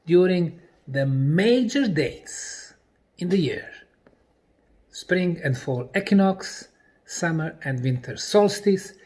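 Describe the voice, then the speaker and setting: A man presenting in a lecture style, deliberately, in short separated phrases with emphasis on key words. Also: high voice. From Bosnia. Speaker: presenter and researcher.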